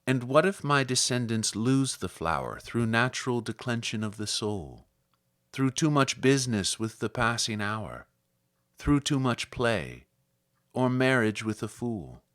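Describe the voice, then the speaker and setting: A man reciting literary poetry in a measured, solemn manner with deliberate phrasing. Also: medium pitch, expressive, a bit bright, no echo, studio quality. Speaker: poetry reader.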